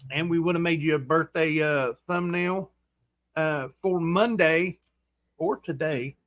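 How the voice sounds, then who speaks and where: A man in his forties hosting a casual livestream chat, rambling and halting with fillers and restarts. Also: fairly high pitch, fairly bright tone, dry recording. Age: 40s. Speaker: host.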